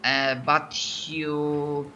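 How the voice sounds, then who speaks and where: Polish guy running a tabletop game, slow and hesitant, bright sharp voice. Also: a little high, flat delivery, highs cut off, slight background noise. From Poland. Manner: hesitant, lots of restarts. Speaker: game master.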